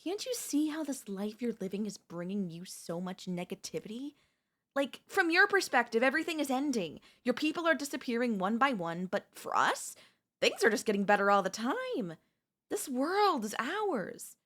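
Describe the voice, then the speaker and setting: A woman's voice: fairly high pitch, very animated, moderate pace, fairly bright tone. A woman playing a chatty, cheeky female zombie in a comedic audio roleplay, breezy and falsely friendly. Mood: persuasive. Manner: breezy coaxing, falsely friendly, awkward backpedalling.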